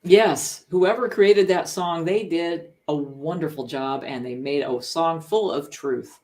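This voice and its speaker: A woman's voice: low-pitched, takes it slowly, a little mellow. A woman, a church musician, casually introducing a song. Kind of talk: casual chat before singing.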